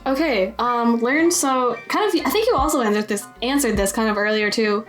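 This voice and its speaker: A woman's voice: fairly high pitch, expressive, moderate pace. A young woman hosting a casual interview in an informal, giggly, chatty manner. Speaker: interview host. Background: music.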